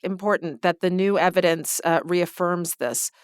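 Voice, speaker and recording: A woman's voice: on the low side, normal speed, dry recording, clean studio sound. A woman hosting an interview podcast, asking structured questions and recapping the answers plainly. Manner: clear, structured, recapping what was said.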